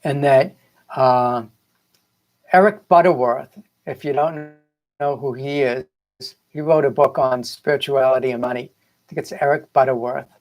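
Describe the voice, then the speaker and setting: A man's voice: mid-range voice, fairly even intonation, a little slow, darkish tone, poor audio. A middle-aged or older man with a Boston accent speaks casually and earnestly as a spiritual coach on a livestream, rambling and repeating phrases for emphasis. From Boston area, United States. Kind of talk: casual motivational livestream talk.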